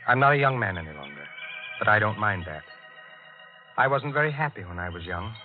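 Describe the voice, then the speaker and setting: Low-voiced, melancholy middle-aged husband. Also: male voice, big pitch swings, brisk. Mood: wistful, resigned. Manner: subdued, hesitant, confiding. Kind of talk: 1940s radio drama acting. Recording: muffled 1940s radio recording, loud music.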